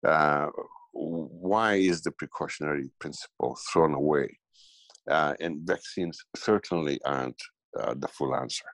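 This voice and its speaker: A middle-aged man from Tanzania giving a political interview, speaking haltingly with many fillers and restarts. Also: rumbling low, fairly bright tone, no room sound. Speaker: guest commentator.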